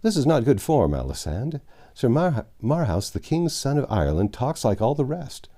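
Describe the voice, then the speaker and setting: A man narrating a classic novel aloud, expressive with wry asides and occasional self-corrections. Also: mid-range voice, normal speed.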